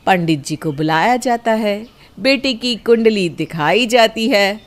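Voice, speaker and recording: A woman's voice: mid-range voice, expressive, unhurried. A woman reading scripted narration for a moral story.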